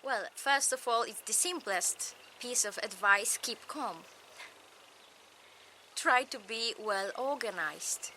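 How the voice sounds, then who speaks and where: A woman with a Polish accent, a native Polish speaker, giving a recorded lecture in slow, measured, short phrases. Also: a little high, sharp tone, slight background noise, poor audio.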